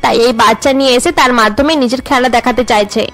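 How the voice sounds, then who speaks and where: A woman's voice: on the high side, quick pace, fairly bright tone, faint music behind. A woman voicing a jealous, spiteful young daughter-in-law who complains bitterly in a cartoon melodrama. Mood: jealous complaining. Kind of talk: Bengali cartoon melodrama dubbing.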